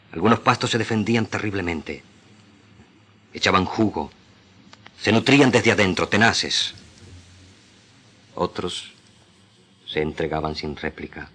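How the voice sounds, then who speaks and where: A man with an Argentine accent giving a solemn, deliberate, declamatory literary reading as a radio actor. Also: deep voice, pushed and bright, slightly rough.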